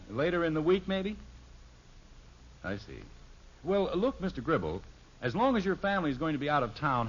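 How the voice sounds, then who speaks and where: Strict American radio dad, middle-aged, blustering, wildly swooping pitch, husky, muffled vintage recording. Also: male voice. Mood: polite, eager. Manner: lecturing, commanding, speechifying. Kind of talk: 1950s radio sitcom acting.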